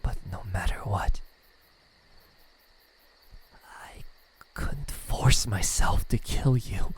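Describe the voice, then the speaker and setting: A man voicing a lonely, tender demon in love in an ASMR roleplay, speaking softly and haltingly, often near a whisper. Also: lowish voice, a little hiss or hum. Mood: earnest, tender. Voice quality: breathy, soft, half-whispered. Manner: hesitant, halting, stammering. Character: lovesick male demon, lonely, tender, self-doubting.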